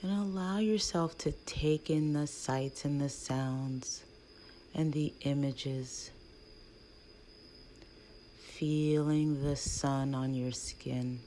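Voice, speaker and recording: A woman leading a guided meditation in a hushed, soothing, breathy voice with long pauses between phrases. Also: sits low. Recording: faint background noise.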